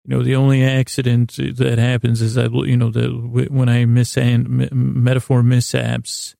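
A male podcast host with a heavily creaky, gravelly voice and a flat, narrow melody. He wanders through a sleep-podcast monologue, halting and doubling back in a self-deprecating way. The recording is close and dry. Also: medium pitch, no room sound. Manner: meandering, halting, self-deprecating, often losing his thread.

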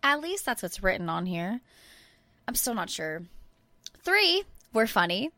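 A woman performs a self-adoring, flippant actress in a comic promo. Her voice is bright and fairly high, very animated, recorded dry. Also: measured pace, dry recording. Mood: smug, flippant. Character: vain, flippant female voice actor plugging a podcast.

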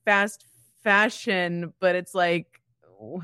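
A woman's voice: lowish voice, steady tone, slow pace, pushed and bright, clear, resonant tone, dry recording, clean studio sound. A woman co-hosting a podcast, chatting casually in a blunt, wry and playful way. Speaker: podcast co-host. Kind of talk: casual chat.

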